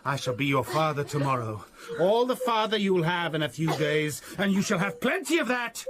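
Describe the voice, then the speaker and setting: Menacing, volatile master, high-pitched. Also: male voice, big pitch swings, moderate pace, a crowd in the background. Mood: cruel. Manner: mocking mock courtesy breaking into barked orders. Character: menacing, sardonic master of a moorland house, violent temper. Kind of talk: period radio drama acting.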